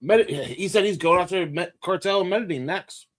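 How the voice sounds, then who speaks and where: A man's voice: high-pitched, moderate pace. A man chatting casually on a panel, slangy and profane, jumping in with short remarks. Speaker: talk show panelist. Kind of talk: casual chat.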